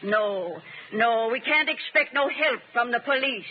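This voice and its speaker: A woman's voice: a little high, lively intonation, unhurried, a crowd in the background. An actress playing a feisty older woman peddler in 1930s radio drama, with folksy, ungrammatical street speech. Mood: earnest, defiant. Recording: muffled, hissy 1930s radio recording.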